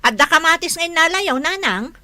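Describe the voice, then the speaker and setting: A woman's voice: extremely high pitch, animated, normal speed, bright, forceful tone, no room sound, full character voice. A woman playing an exasperated younger wife in a comedy drama, complaining and scolding with a northern Philippine accent. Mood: grumbling. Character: exasperated nagging younger wife of a lazy husband.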